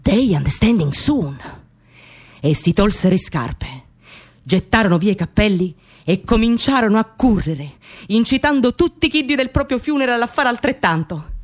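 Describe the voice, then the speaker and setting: An actress plays an excitable immigrant woman with a Sicilian accent in a radio play. Her medium-pitched voice swings widely as she gossips. She tells her tale theatrically, mixing dialect with broken English. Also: moderate pace, close to an everyday voice. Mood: excited, mocking. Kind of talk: theatrical monologue for radio drama.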